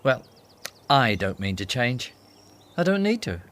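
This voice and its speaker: An actor with a Northern Irish accent playing Judas, a teasing, sardonic disciple who cares most about money, in a radio drama. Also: male voice, medium pitch, very animated, measured pace, a bit bright. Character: Judas, scheming money-minded male disciple.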